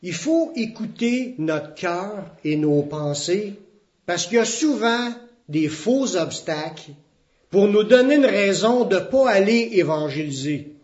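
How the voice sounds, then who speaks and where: A man's voice: high voice, expressive, slow, darkish tone. A man with a Québécois French accent, preaching a sermon as pastor in an earnest, plain-spoken way. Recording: dull, muffled lo-fi recording.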